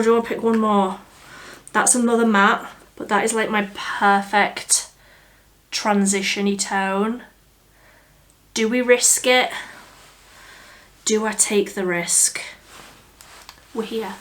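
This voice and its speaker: A young woman with a slightly croaky voice from a cold, chatting casually through a makeup tutorial as a beauty vlogger. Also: mid-range voice, fairly bright tone. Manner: chatty, easygoing, thinking aloud.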